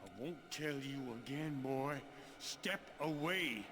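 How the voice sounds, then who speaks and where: An actor playing a vengeful, betrayed Western gunslinger in a video game cutscene, threatening and bitter. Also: male voice, a little high, animated, slow, noisy background. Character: vengeful, betrayed gunslinger brother, menacing and hard. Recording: long reverb with music behind.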